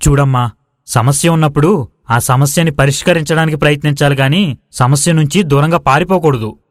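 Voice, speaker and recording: Casting: man as stubborn, skeptical young villager, argumentative storybook hero, slightly high voice, dry sound. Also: a little fast, no echo, natural voice. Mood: determined. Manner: assertive, argumentative. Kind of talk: acted moral-story dialogue.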